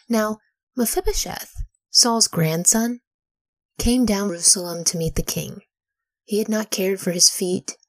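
A woman in a soft whisper, reading scripture aloud and pausing to ask study questions. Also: lowish voice, no room sound, low-quality recording. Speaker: Bible study leader.

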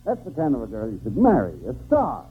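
A man's voice: mid-range voice, wildly swooping pitch, fast. A man playing the scolding, fussy straight man in a 1940s radio comedy double act. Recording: tinny, hissy old radio broadcast.

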